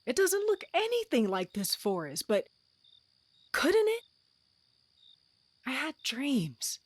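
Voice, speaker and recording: A woman's voice: high-pitched, wildly swooping pitch, slow pace, no room sound, heavily acted. A woman playing an earnest, frustrated wife and mother in an audio drama, pleading and heartfelt. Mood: frustrated, wistful.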